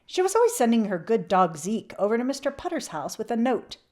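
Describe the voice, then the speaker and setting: A woman reading a children's picture book aloud expressively, with emphatic swells and hushed drops. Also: a little high, very animated, brisk. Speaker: children's read-aloud channel host.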